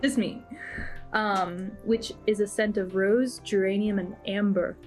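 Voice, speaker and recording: Medium-pitched woman in casual chat. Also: steady tone, unhurried, a little mellow. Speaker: cast member. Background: background music.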